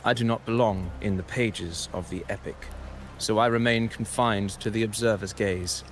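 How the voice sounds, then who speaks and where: An actor playing a calm, enigmatic wandering poet in a video game, speaking in a measured, lyrical and formal way with deliberate pauses. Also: male voice, lowish voice, a little hiss or hum, lightly acted. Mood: wistful. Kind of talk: dramatic video game dialogue, poetic narration.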